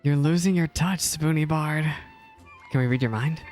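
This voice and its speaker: A woman with a very low voice gives casual, playful reactions as she plays a video game. She is chatty and teasing, with faint game music underneath. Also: normal speed. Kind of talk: casual gameplay reaction commentary.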